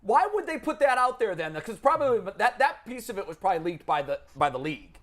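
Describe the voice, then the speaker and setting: Slightly high-voiced male sports show host. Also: sing-song, quick pace, a bit bright. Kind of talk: casual sports talk.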